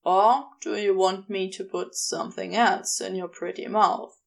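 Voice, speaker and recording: A woman voicing a seductive male demon in an audiobook, low and hard, deliberate and playfully commanding. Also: steady tone, vocal fry, distant mic.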